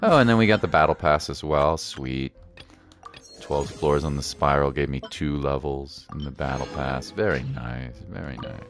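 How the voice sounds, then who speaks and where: A man's voice: a bass voice, expressive, normal speed. A man giving casual, playful livestream commentary over gameplay, often repeating his phrases for emphasis. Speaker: game streamer. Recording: game music behind voice. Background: game music.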